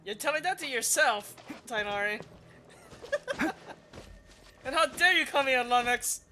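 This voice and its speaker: A woman's voice: high voice, animated, unhurried, pushed and bright, slight background noise. A woman game streamer giving casual commentary over gameplay with playful, teasing asides.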